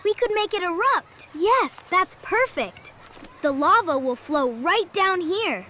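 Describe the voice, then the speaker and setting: A woman's voice: very high voice, lively intonation, slow pace, pushed and bright, slight background noise, heavily acted. A woman voicing an eager, kind-hearted schoolboy in a children's cartoon story, earnest and exclamatory. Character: excitable, eager, kind-hearted schoolboy building a science project.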